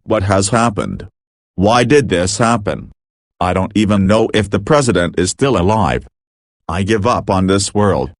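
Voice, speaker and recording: A man's voice: fairly low pitch, very animated, measured pace, no echo, clean studio sound. A man playing a frazzled emergency broadcast announcer in an amateur doomsday drama, mixing formal bulletins with slangy exclamations. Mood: dismayed. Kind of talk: amateur scripted emergency broadcast narration with informal outbursts.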